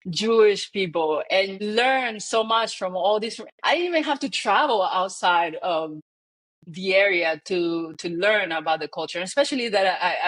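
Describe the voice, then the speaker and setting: A woman from the Dominican Republic with a Dominican-tinged English accent, talking as an interview guest in a casual, chatty way and telling stories with lively laughs. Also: lowish voice. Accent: Dominican Spanish-tinged English. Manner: chatty, animated storytelling with laughs. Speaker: guest, real estate founder.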